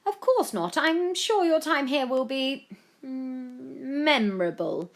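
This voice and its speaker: A haughty storybook villainess, played by a woman reader, scornful of children. She sneers with mock politeness, menacing in every phrase, her raised voice swinging widely in pitch. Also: animated, takes it slowly, full character voice. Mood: mock-polite.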